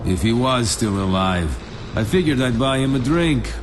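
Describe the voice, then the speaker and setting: An actor playing a cocky, wry soldier recounting a mission in a dry, wisecracking way for a video game cutscene, with music over him. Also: male voice, medium pitch, expressive, normal speed, background noise, natural voice. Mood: wry, matter-of-fact.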